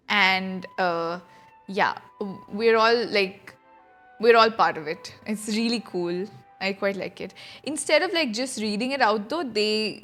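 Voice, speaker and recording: A woman's voice: medium pitch, a bit bright. Female game streamer: informal, chatty, middle register, faint game music behind. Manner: informal, chatty, thinking aloud. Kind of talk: casual livestream chat and gameplay reaction.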